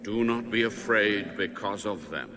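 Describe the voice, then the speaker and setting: A slow, solemn male God, acted by a man, speaks with measured command in an audio Bible drama. Ambient noise sits clearly behind the words. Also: fairly low pitch, close to an everyday voice.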